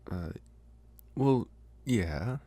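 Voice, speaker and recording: A man playing an earnest, smitten young college vampire in a halting, intimate ASMR roleplay, with a soft, breathy voice. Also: fairly low pitch, lively intonation, no echo, full character voice. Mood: awkward, sincere. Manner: halting, hesitant, unhurried.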